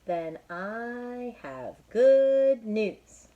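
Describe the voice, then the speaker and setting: A woman voicing a cheerful, matter-of-fact storybook pig with an emphatic, drawn-out delivery. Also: a little high, expressive, phone-quality sound, pitched above the performer's own voice. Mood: cheerfully informative.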